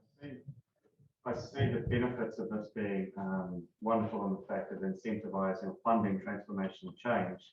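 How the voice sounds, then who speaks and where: A man's voice: sits low, fairly even intonation, normal speed, slight background noise. Hesitant man, distant in an echoey hall. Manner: hesitant and searching, many ums. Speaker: audience member asking a question. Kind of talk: hesitant conference question. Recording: distant, echoey, dull room mic.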